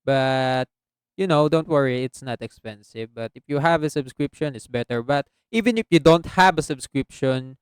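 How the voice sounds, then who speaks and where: A man hosting a casual software tutorial as a voice-over. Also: mid-range voice, lively intonation, normal speed, smooth, dry recording. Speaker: tutorial host. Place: close, dry indoor voice-over recording.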